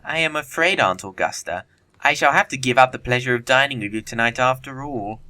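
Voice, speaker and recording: A man playing a witty, flippant young gentleman bachelor in an audio comedy of manners, glib and theatrical in formal speech. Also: mid-range voice, brisk, natural voice. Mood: feigned politeness.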